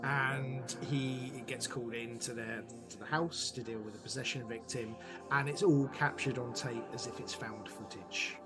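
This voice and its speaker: A man gives thoughtful answers as a podcast guest, laying out creative ideas piece by piece and stressing key words. His pitch is average for a man. Music plays loudly underneath his voice. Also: steady tone, normal speed. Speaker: interview guest, audio drama writer and director. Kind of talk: thoughtful podcast interview conversation.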